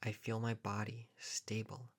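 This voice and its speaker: A man with a fairly low voice narrates a guided meditation. He speaks calmly and deliberately, in short phrases set apart by long pauses. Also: breathy edge.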